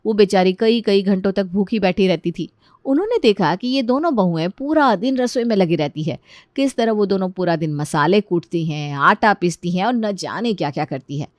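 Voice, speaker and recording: A woman's voice: medium pitch, lively intonation, normal speed, a little mellow, no room sound, clean studio sound. A woman narrator reading a scripted story.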